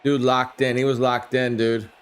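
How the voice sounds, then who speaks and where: A man with a hoarse, flu-worn voice calling a video game football simulation play by play on a live stream, shouting on big plays and chatting with his viewers. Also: mid-range voice, level tone, normal speed.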